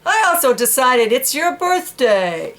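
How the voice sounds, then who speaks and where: A woman plays a little dog from a picture book, feisty and quick to defend itself. The pitch is high and very swoopy. The retorts come out emphatic and drawn-out. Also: sing-song, a bit bright, full character voice. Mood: playful, eager. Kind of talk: children's picture book read aloud.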